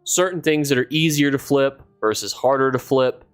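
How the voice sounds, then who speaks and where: A man's voice: mid-range voice, fairly even intonation, moderate pace, clear, resonant tone, faint music behind. A man losing his voice from a cold, chatting casually on a live stream while he plays and reads out chat, rambling as he thinks aloud.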